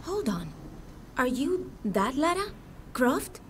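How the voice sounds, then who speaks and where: A woman's voice: high voice, sing-song, takes it slowly, a little hiss or hum, exaggerated voice. An actress playing a confident, wry local woman researcher in video game dialogue.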